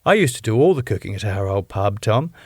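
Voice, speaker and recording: A deadpan older British landlady, put on by a man, flat and matter-of-fact. The delivery is dry and close to the mic. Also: fairly low pitch, fairly quick, breathy edge, dry recording. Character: deadpan older woman, club owner's wife.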